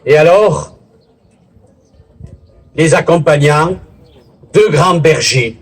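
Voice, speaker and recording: A man giving a formal public speech as a local official, in a deliberate, theatrical oratorical manner with pauses and a husky voice. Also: a little high, expressive. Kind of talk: formal public speech at a village festival, with literary recitation. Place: village festival in Bouisse, addressing a gathering.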